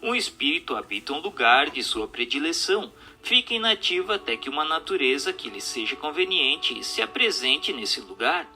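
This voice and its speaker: Ator fazendo voz masculina brasileira, muito brilhante, solene. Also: male voice, a little high, very animated, moderate pace, hardly any pauses, sharp tone, soft background music, full character voice. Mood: solemn, instructive. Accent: Brazilian Portuguese. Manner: formal, declamatory, didactic. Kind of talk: scripted radio drama reading of a spiritist question-and-answer dialogue.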